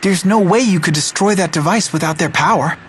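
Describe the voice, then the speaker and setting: An actor voicing an earnest, helpful teenage boy hero in a fantasy game dub, youthful and expressive. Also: mid-range voice, fairly quick, slight background noise, lightly acted. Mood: concerned, helpful.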